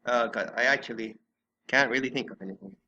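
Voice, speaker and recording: A man's voice: mid-range voice, quick pace, bright, forceful tone, muffled recording, a little hiss or hum. A man hosting a casual podcast discussion, hesitant and often repeating words as he talks. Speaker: podcast host.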